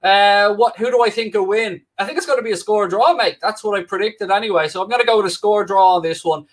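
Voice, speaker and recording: A man's voice: sits high, fast talker. A man with a Dublin-Wicklow Irish accent, filling in as host of a live football watchalong, chatting casually and bantering with the viewers in a cheeky, self-mocking way. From Ireland. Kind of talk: casual live-stream chat reading viewer comments. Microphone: new microphone, close.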